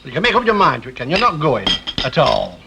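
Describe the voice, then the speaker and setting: Blunt lancashire dad in an old tv soap, nagging, quick, voice swoops around, bit of hiss. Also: male voice, on the high side, big pitch swings, gravelly. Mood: indignant. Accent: Northern English, Lancashire. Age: middle-aged. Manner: blunt, needling, argumentative. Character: grumbling, domineering working-class father at the tea table. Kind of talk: 1960 British television soap acting. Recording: faintly noisy 1960 television soundtrack.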